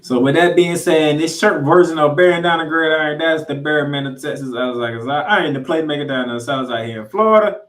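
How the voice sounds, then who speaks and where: A man's voice: a little high, quick pace, hardly any pauses, a bit bright. An American man hosting a football podcast, giving a recap and preview with an emphatic, colloquial delivery. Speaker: sports podcast host. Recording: roomy, echoing sound.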